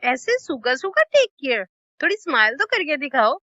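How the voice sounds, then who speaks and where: Woman acting high, bright, teasing best friend. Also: very animated, normal speed, dry recording, dull audio, exaggerated voice. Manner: playful, coaxing. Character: chirpy, teasing young female best friend. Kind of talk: light romantic drama acting.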